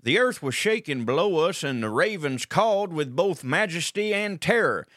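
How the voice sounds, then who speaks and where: A man's voice: on the high side, lively intonation, moderate pace, fairly bright tone, dry recording, studio quality. A man with a Southern US accent acting a folksy colonel detective who narrates a comic audio drama with wry asides. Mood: wry, dramatic. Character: folksy, digressive Southern colonel detective telling his tale.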